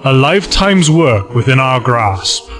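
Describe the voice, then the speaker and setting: A man's voice: mid-range voice, sing-song, unhurried, a bit bright, soft background music. A man playing a sly, secretive villain who feigns humility, speaking formally in a science fiction audio drama. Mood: modest, deferential.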